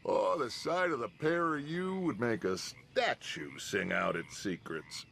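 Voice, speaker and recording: An actor playing a grandiose, wily middle-aged outlaw leader in a video game, with theatrical, ornate delivery. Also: male voice, fairly low pitch, animated, a little slow, creaky, full character voice. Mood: wry, commanding. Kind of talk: video game cutscene acting. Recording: loud background ambient noise.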